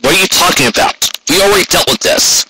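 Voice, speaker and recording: A man's voice: high voice, quick pace, noisy background, pitched above the performer's own voice, close to an everyday voice. A man voicing a puzzled, protesting young male unicorn guard captain in a fantasy story reading. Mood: baffled.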